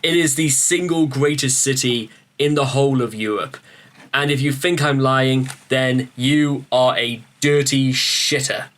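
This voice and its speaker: A man from northeast England talks to camera in a loose, rambling way, cracking jokes at his own expense and swearing casually. He trips over restarts and keeps calling his viewers ladies and gentlemen. His voice sits at a middling pitch. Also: measured pace. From Newcastle upon Tyne, northeast England. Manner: joking, swearing, self-mocking, often stammers on restarts. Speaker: reaction video host.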